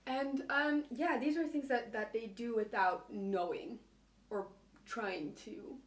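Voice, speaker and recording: A woman with a low voice giving casual advice in a video, hesitant and full of fillers. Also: slow pace. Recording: video replayed into a room mic, echoey and distant.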